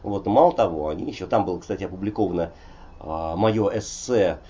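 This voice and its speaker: A man in his sixties from Russia, a poet talking in a relaxed interview, rambling and digressive with many hesitations. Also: low-pitched, brisk, dull audio, a little hiss or hum. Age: 60s. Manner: digressive, frequent hesitations and "vot" fillers. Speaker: poet and polyglot guest. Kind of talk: conversational interview, discursive storytelling.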